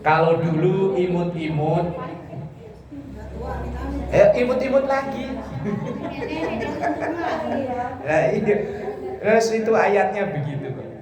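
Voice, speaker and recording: A man's voice: high voice, expressive, takes it slowly, long pauses, crowd noise. A man preaching informally, explaining scripture phrase by phrase in a conversational, joking manner. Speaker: Islamic preacher. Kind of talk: informal sermon explaining Quran verses. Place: echoey room with a listening congregation. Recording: echoey hall, distant mic, audience murmur.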